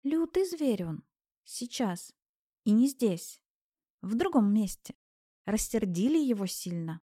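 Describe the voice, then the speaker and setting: A woman's voice: fairly high pitch, sing-song, slow, dark tone, no echo, clean studio sound, full character voice. A woman voicing a kindly old village granny in an audiobook, tender and folksy as she chides and reassures. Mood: cryptic. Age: older. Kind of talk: audiobook character voice.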